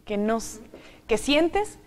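A woman's voice: a little high, big pitch swings, slow, a little hiss or hum. A young woman student from Puebla with a Mexican Spanish accent, speaking thoughtfully and personally on a studio panel through a lapel mic. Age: young adult. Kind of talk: casual discussion. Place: television studio, indoors.

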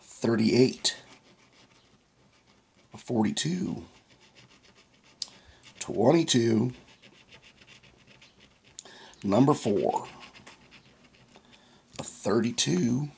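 A raspy, hoarse man who has lost some of his voice hosts his own vlog in a slow, easygoing drawl. He reads numbers off a ticket one at a time, his tone husky and rough with a gravelly edge. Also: mid-range voice, lively intonation, darkish tone. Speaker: scratch-off ticket channel host. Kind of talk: casual vlog narration.

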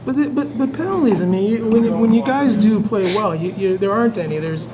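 A man's voice: sits high, a little fast, dull audio, a loud crowd. A man, a sports reporter, putting questions to a coach, stammering and repeating words. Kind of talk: press scrum questions.

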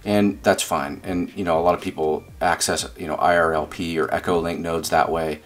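A man's voice: low-pitched, fairly even intonation, normal speed. A man giving a casual hands-on demonstration as a radio hobbyist, explaining informally and thinking aloud as he goes. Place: indoors at a desk.

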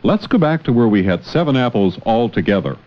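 A man's voice: medium pitch, big pitch swings, brisk. A man teaching in an educational film, encouraging and full of questions, on an old film soundtrack. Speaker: teacher, narrator. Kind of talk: educational film dialogue with a child. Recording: dull, noisy, clicking old 16mm film soundtrack. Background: clicks and heavy film noise.

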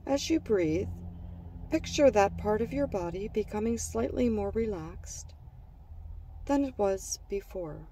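A woman leads a children's guided relaxation, reading from a script. Her voice is mellow and soft-edged, and she speaks slowly and soothingly in short, separated phrases. She sounds a little away from the microphone. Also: mid-range voice, animated, distant mic, slight background noise, poor audio. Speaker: guided meditation narrator.

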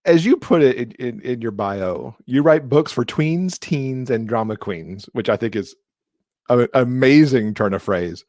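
Middle aged guy hosting an interview, pitch moves around a lot, close mic, dry sound. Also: lively intonation, a little slow, a little mellow, dry recording. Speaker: interview host. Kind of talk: conversational interviewing. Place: indoor room, on video call. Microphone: close desk microphone.

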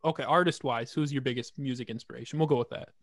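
A man's voice: mid-range voice, quick pace, dry recording. A young man hosting a casual interview, enthusiastic and slangy, talking into a studio mic in a small home room. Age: young adult. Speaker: host. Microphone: large studio mic on an arm.

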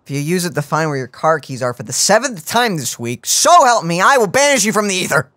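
Lively young man, playful game commentary. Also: sits high, fairly quick, no echo, clean studio sound. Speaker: gaming video host. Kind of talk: casual reaction commentary. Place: indoor room.

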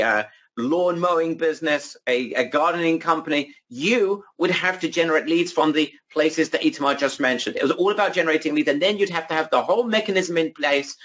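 A man with a high, bright voice runs a sales webinar, driving every point home and calling the audience "guys." His tone is husky and hoarse, as if he is losing his voice. He repeats his claims for emphasis. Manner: emphatic, repetitive hype, addresses audience as guys. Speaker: webinar presenter.

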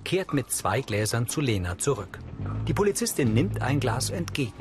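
A male narrator reads scripted audio description in a measured, neutral manner. His pitch is average for a man. Music plays clearly behind him. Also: big pitch swings.

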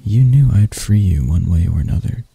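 A man voicing a deranged, seductive spider vampire in an intimate ASMR roleplay, soft and breathy, teasing and theatrical, doting yet menacing. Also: sits low, animated, exaggerated voice. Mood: dark, persuasive. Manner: slow, teasing, theatrical, with dark chuckles.

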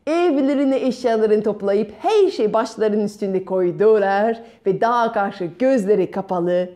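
A middle-aged woman telling a children's fairy tale expressively, with dramatic pauses. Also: medium pitch, dark tone. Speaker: children's storyteller. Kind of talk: performed fairy-tale storytelling. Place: bright room at home by a window. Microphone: small clip-on lapel mic.

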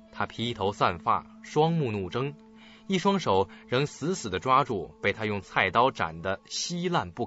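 A male narrator tells a suspense story in a fairly low voice with lively swings of pitch. His delivery is dramatic and scripted, over music. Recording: dull lo-fi audio with music.

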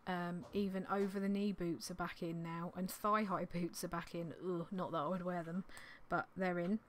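A woman in her thirties, slightly hoarse from losing her voice, chatting in a rambling, apologetic way on a vlog, recorded in a small indoor craft room on a desktop mic. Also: on the low side, level tone, normal speed, a little hiss or hum. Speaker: clothing reseller vlogger. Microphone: desktop condenser mic on an arm.

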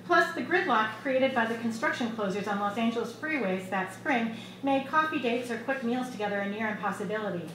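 A middle-aged woman reading her own short fiction aloud at a live event, in a measured, expressive storytelling delivery. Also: fairly high pitch. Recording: echoey bar room with loud background noise.

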